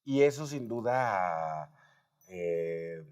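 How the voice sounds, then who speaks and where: A man's voice: sits low, expressive, very slow, darkish tone, clear, resonant tone. A man with a Mexican accent hosting a conversational interview, explaining emphatically and checking with tag questions. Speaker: host.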